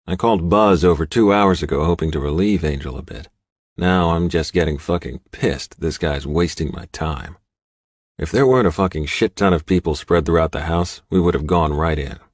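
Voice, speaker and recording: A man narrates first-person fiction from a script. His voice is very deep. The sound is dry and close, free of any room. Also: dry recording. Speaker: audiobook narrator.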